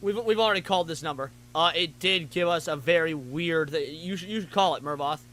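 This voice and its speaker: Male streamer, fast and bright, casual reaction host who reads aloud and trips over words now and then. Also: fairly high pitch. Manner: chatty, reads text aloud, stumbles and restarts.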